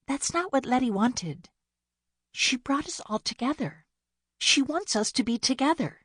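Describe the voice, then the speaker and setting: A woman voicing an excitable, pouty youngest sister with a breathy voice. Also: high voice, a little slow, dry recording, clean studio sound, pitched above the performer's own voice, exaggerated voice. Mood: pleading, upset. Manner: pouty, exasperated, gushing.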